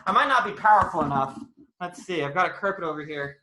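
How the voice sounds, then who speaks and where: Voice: mid-range voice, lively intonation, fast, background noise. An informal, playful science presenter chatting to children during a live online demonstration, recorded in a roomy indoor space. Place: indoor room, live online video call. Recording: roomy echo.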